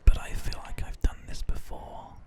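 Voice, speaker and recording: A man whispering reflective ASMR narration over gameplay into a binaural microphone, in a soft, musing way. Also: normal speed. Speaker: ASMR gaming host.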